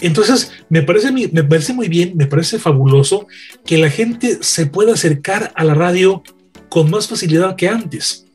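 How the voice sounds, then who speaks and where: A man's voice: sits high, expressive, normal speed, slightly rough, soft background music. A man, a radio veteran chatting and telling anecdotes emphatically, repeating phrases for effect. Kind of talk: animated anecdote-telling in a panel chat.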